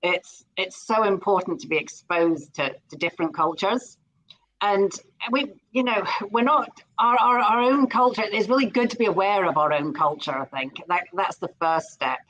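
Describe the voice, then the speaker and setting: A woman's voice: medium pitch, normal speed, a bit bright, low-quality recording. A middle-aged Scottish woman speaking as a guest in a live interview, enthusiastic and often repeating words as she starts a sentence. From Scotland.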